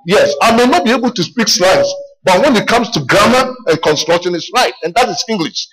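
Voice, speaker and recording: A middle-aged Ghanaian man preaches in a high voice, spinning personal stories into his sermon. He talks animatedly, doubles back on his words and calls out "Amen" to his listeners. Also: fairly quick, rough recording. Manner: animated storytelling, repeats himself, calls "Amen".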